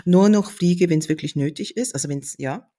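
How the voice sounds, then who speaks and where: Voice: mid-range voice, normal speed, warm tone. Explanatory speaker in casual conversation.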